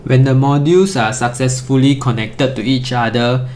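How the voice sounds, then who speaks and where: A man's voice: medium pitch, steady tone, moderate pace, a little mellow. A man presenting an instructional tutorial in a methodical, step-by-step way.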